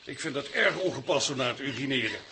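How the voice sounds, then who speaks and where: A man's voice: medium pitch, expressive, quick pace, background noise, lightly acted. A man playing a prim, grumpy customer in a Dutch radio play, stiff and disapproving.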